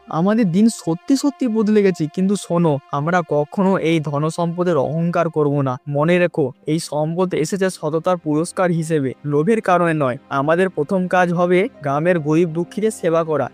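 A man's voice: a little high, moderate pace, few pauses, darkish tone, faint music behind, lightly acted. A man voicing a poor, honest woodcutter in a cartoon, speaking earnestly and stammering when startled. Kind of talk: cartoon voice acting.

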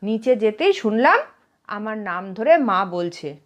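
A woman's voice: mid-range voice, very animated, unhurried. A woman voicing a fearless, plain-spoken older widowed aunt in a story, exclaiming and asking dramatic questions. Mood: reminiscing.

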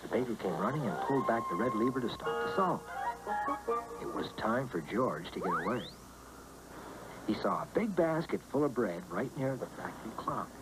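A man narrating a children's cartoon storybook in a clear read-aloud manner, with music behind. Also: mid-range voice, lively intonation, moderate pace, rough recording.

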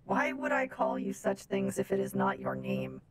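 A woman's voice: medium pitch, huge pitch swings, normal speed. A woman voicing an oddly formal, naive female space robot from Venus in a game roleplay, speaking in stilted, literal phrases. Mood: blunt, curious. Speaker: streamer playing a roleplay game.

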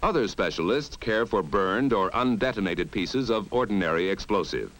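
An American man reading scripted narration for a mid-century instructional film in an authoritative, measured, emphatic way. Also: lowish voice, animated, a bit bright, low-quality recording.

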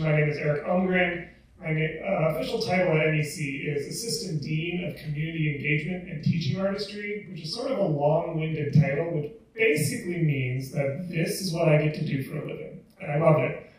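Middle-aged man, podium host, chatty, slightly high voice, big echoey hall, distant mic. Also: a little mellow. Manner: chatty, slightly rambling, with fillers. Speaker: assistant dean introducing a concert. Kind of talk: public announcement speech. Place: large library concert hall. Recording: echoey hall, heard from afar.

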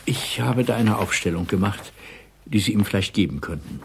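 An actor playing a guarded, lonely ageing intelligence officer in a radio drama, with a husky voice. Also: male voice, lowish voice, normal speed, a little mellow, a little hiss or hum.

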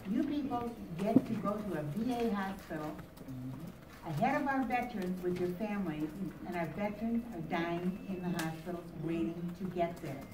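An older woman with an American accent and a fairly low voice speaks in forceful declarations and wandering stories. She is picked up from across an echoey meeting room with clear background noise. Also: normal speed, recorded from a distance. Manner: forceful, declarative, rambling storytelling. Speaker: veteran community activist speaker. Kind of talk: personal public speech. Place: crowded library meeting room, at lectern. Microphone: camera in the audience, far off.

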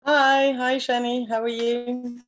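A woman in her forties from France with a French accent, chatting as a guest over a laptop webcam from her home kitchen, friendly and halting, with fillers. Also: fairly high pitch, level tone. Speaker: guest, eco project manager. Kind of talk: video call interview. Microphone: laptop webcam on video call.

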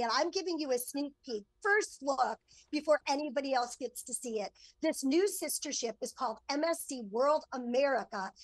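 A woman's voice: sits high, dry recording, poor audio. A middle-aged woman speaking enthusiastically as a travel expert, giving an upbeat promotional segment. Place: on board a cruise ship, remote link.

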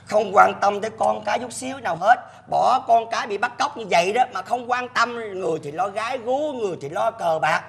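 High-pitched, stammering southern Vietnamese crook. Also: male voice, fairly quick, gravelly, few pauses, a bit bright. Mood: indignant. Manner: stammering, repeats words. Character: bumbling, flustered junior kidnapper, excitable and easily cowed. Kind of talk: broad sketch comedy acting.

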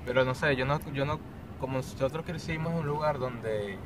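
A man's voice: mid-range voice, normal speed. A young man with a Venezuelan accent telling his story hesitantly, often restarting. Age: young adult. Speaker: interview guest. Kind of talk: casual storytelling. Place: outdoors on stone steps of an ancient theatre. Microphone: camera mic from a few meters away. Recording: distant outdoor camera audio with street noise.

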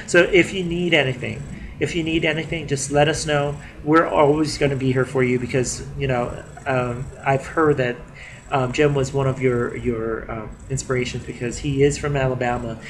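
A young man talking casually to his webcam in a living room, a little nasal from a cold, with lots of fillers and restarts. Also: medium pitch. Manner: chatty, many fillers and restarts. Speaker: vlogger. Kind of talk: casual video diary. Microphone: webcam at desk distance.

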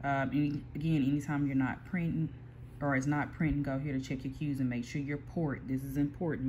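Relaxed voice walking through software steps. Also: medium pitch, a little hiss or hum. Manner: relaxed, explains step by step with frequent "um" and restarts. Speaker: small print shop owner giving a software tutorial. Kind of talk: casual screen-recorded tutorial. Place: indoor workspace at a computer. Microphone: phone filming a computer screen.

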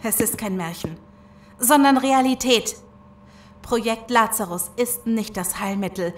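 A woman's voice: a little high, a little slow, slight background noise, lightly acted. A woman playing a defiant, resolute captive in a German audio drama.

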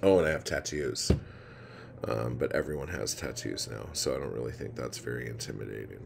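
A man in his forties talks into a phone camera held low in a room at home, sounding tired and down. His voice is extremely deep and gravelly, and he speaks slowly. The recording carries a steady hiss of background noise. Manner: rambling, frustrated, swears freely. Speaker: poet vlogger. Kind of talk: casual confessional vlog. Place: indoor room with ceiling fan. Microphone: phone camera held low, close.

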